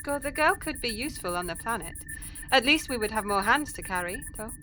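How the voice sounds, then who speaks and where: A woman voices a loyal female alien officer in a sci-fi audio drama. Her voice is high and swings widely in pitch, with clipped verbal tics at the edges of sentences. Clear noise sits behind her. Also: lively intonation, brisk, bright, forceful tone, poor audio. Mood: dutiful, concerned. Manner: starts and ends sentences with clipped tic words. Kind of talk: science fiction audio drama acting. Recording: noticeable background noise.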